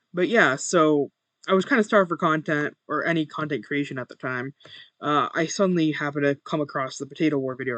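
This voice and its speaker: A young man with a monotone voice, chatting casually while playing a game and muttering to himself over his first recording. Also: fairly high pitch, no echo. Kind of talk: casual gameplay commentary.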